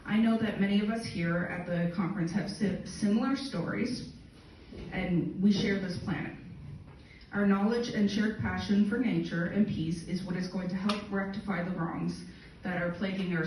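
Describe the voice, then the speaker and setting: A woman reading a prepared conference talk in a measured, formal way. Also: medium pitch, a little mellow, a little hiss or hum. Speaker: conference speaker. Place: conference hall. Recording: very echoey hall from far off.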